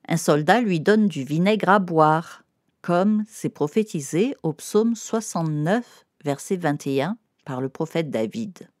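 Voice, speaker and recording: A woman with a fairly low voice narrates a children's lesson at a slow pace. She explains in simple, didactic terms, speaking directly to a young listener. The sound is dry and close. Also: a little mellow, dry recording.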